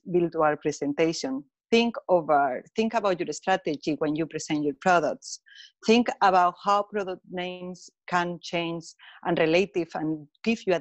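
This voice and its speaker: A woman from Spain with a Spanish accent, speaking English as a second language, giving an earnest webinar talk on a video call with some halting phrasing. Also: lowish voice, no echo. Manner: earnest, halting non-native phrasing with fillers. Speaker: webinar speaker, colour consultant. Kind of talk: online presentation.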